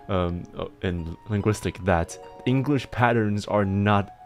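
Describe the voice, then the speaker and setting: A man livestreaming and chatting casually, explaining things in halting bursts with frequent restarts and laughter, speaking with a mixed accent. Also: lowish voice, soft background music. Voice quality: self-described mixed accent. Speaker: livestreamer answering chat.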